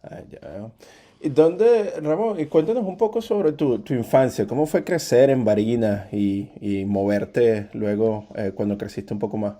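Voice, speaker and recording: A man's voice: mid-range voice, a little slow, warm tone. A man hosting an interview podcast, reading a scripted intro and then asking eager, friendly questions that often restart. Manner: eager, friendly questioning, repeats words when starting questions. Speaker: podcast host.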